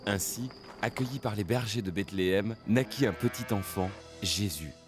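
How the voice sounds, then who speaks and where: A man giving formal, measured scripted narration for an animated Bible story. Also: lowish voice, over music.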